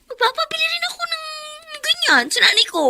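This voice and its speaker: A woman's voice: very high voice, sing-song, a little fast, bright, forceful tone, a little hiss or hum, full character voice. A woman playing a chatty, brash and playful teenage student in a radio drama. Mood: playful, giggly. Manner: talkative, exuberant, teasing.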